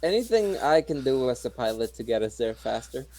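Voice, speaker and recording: A man's voice: medium pitch, very animated, fairly quick, a little mellow, no room sound. A man talking casually as a player in a tabletop role-playing game.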